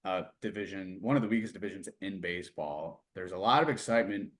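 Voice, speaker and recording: A man's voice: deep voice, quick pace. An American man, a producer conducting a sports interview in a conversational style.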